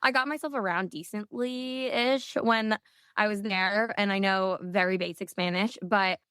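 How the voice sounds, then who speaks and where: A woman's voice: medium pitch, fairly even intonation, measured pace, bright, forceful tone, clear, resonant tone, no room sound, studio quality. A woman hosting a podcast, chatting in a bubbly way with long run-on thoughts. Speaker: podcast host. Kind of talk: casual chat interview.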